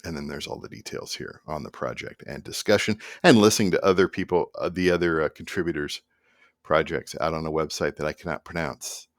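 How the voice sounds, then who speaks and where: Middle aged guy w deep voice, rambly casual vlog chat, really dry room sound. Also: brisk, no echo. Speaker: musician presenting own project. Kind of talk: casual behind-the-scenes vlog talk to camera. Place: small indoor home room at a computer.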